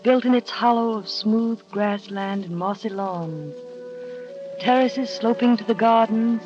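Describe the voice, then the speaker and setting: An actress playing a timid young new wife who narrates and speaks hesitantly, with small stammers, in a 1930s radio drama. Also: female voice, fairly high pitch, unhurried, lightly acted. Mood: narrating. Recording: dull 1930s radio broadcast with music.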